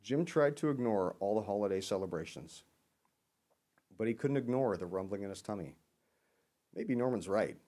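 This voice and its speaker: Guy with a lowish voice reading a kids picture book out loud, expressive, storyteller style. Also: fairly quick. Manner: expressive, acts out dialogue. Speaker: storyteller dressed as Santa.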